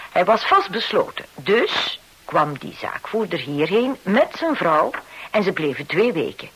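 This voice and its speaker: A woman's voice: mid-range voice, slightly rough, low-quality recording. A woman acting in a Dutch radio drama, playing a shrewd, self-satisfied hotel owner who tells her story with knowing theatricality. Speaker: actor in a radio play.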